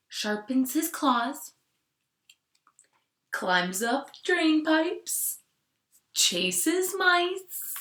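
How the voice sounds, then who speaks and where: A woman with a fairly high voice reads a picture book to a class at a very unhurried pace. She is expressive and drops into hushed asides to the children. The room around her sounds echoey. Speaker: teacher reading aloud to class. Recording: roomy, echoing sound.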